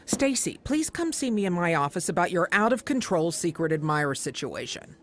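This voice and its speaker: A woman's voice: on the low side, sing-song, brisk, background noise. An actress playing a stern, officious female boss with a formal, reproving manner in an audio drama.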